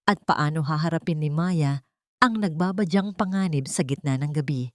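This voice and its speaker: A woman's voice: deep voice, moderate pace, no echo, studio quality. A woman reading a dramatic story as a scripted narration, with a solemn, ornate storytelling delivery.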